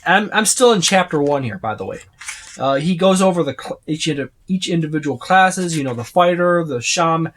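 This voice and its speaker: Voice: mid-range voice, lively intonation, a little fast, fairly bright tone, a little hiss or hum. A reviewer talking casually and unscripted through a tabletop game book, rambling along and often listing items one after another. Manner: rambling, repeats and lists items.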